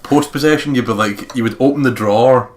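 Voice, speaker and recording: A man's voice: mid-range voice, fairly quick, a little mellow. A man commentating on a game in casual, sweary, joking banter. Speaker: let's play commentator reading a visual novel aloud.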